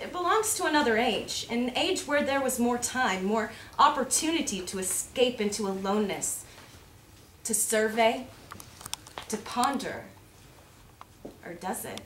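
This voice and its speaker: Echoey, higher-pitched woman reading aloud. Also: very animated, a little slow, long pauses, a bit bright. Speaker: reader at a readers theater event. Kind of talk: live reading of a reflective prose piece. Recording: echoey room with faint background noise.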